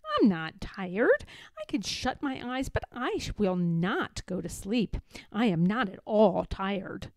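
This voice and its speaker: Woman as an eager little bear cub, lively. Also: medium pitch, slow, no room sound. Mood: playful, stubborn.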